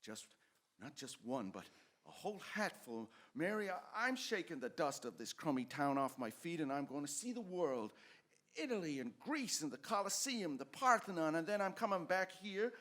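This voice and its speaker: Restless, high-voiced, excitable young man. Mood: dreamy, eager. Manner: halting, stammering, excitable. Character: restless, eager, dreamy small-town young man. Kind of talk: old-time radio drama acting.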